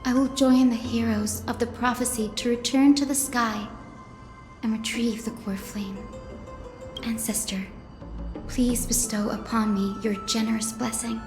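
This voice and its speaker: A young fantasy game heroine with a gentle, earnest temper. Her voice is on the high side and politely formal. It rings with echo, over soft music. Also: steady tone, a little slow. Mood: reverent, solemn. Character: gentle, earnest young priestess-like descendant of sky people. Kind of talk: video game voice acting. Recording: echoey hall reverb, music underneath.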